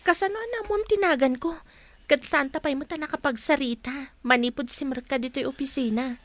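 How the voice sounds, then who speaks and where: A soft-spoken, shy young office woman. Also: high voice, brisk, dry recording. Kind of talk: radio drama acting.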